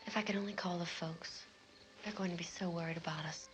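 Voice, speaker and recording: A woman's voice: fairly low pitch, fairly even intonation, fairly quick, lightly acted, rough recording. Actress doing a worried young girlfriend in an old 60s horror movie, pleading, bit of music behind. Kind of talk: 1960s film acting. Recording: old film soundtrack, faint music.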